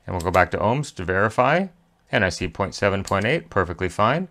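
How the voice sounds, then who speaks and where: A man's voice: deep voice, big pitch swings, brisk, bright, forceful tone. A man hosting a hands-on repair tutorial, talking methodically step by step and thinking aloud as he works. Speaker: repair channel host. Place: indoor electronics workbench.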